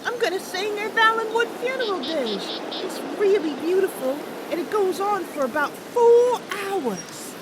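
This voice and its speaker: A woman's voice: very high-pitched, very animated, a little slow, few pauses, noisy background, heavily acted. A woman voicing a chatty, playful young female wood elf adventurer in a fantasy game roleplay. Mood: bright, chatty. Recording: loud music behind the voice.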